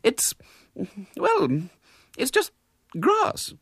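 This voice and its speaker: A man voicing a prim, fussy spinster lady in a storybook reading, with a high, fluttering and hesitant manner. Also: huge pitch swings, drawn-out words, a bit bright, breathy edge, dry recording, clean studio sound, full character voice.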